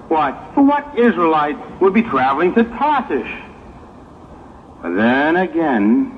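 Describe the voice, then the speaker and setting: Guy doing a devout sea captain in an old radio play, slow and high, muffled noisy tape. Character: commanding sea captain, devout, growing alarmed in a storm. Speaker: actor in an audio drama. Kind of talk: 1960s audio drama acting. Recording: muffled, noisy old 1960s audio drama recording.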